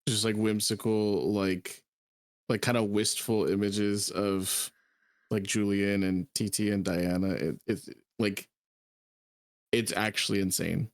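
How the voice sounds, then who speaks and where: A man chatting casually as a stream co-commentator, halting and leaning on filler words. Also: lowish voice, steady tone, dry recording, studio quality. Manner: halting, heavy use of "like". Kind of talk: casual chat.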